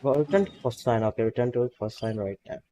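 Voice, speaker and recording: A man with a non-native English accent talks his way through a game stream, pausing and grabbing fillers. His voice is mellow and mid-pitched. Faint game music plays in the background. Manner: halting, filler-heavy, self-conscious. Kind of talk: casual live game commentary.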